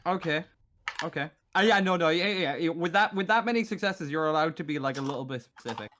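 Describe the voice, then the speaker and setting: A man acting as game master, improvising narration and table talk in a halting way, repeating words as he thinks aloud. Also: on the high side, animated, a bit bright, slight background noise.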